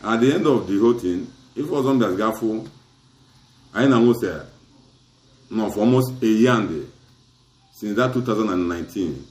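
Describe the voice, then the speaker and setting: Man, middle-aged, Asaba Igbo accent, lowish voice, mixes Igbo and English, roomy echo. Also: a little mellow. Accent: Nigerian, Asaba Igbo. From Nigeria. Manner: mixes Igbo and English in long recounting turns. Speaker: community chief giving an interview. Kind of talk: interview account of a community dispute.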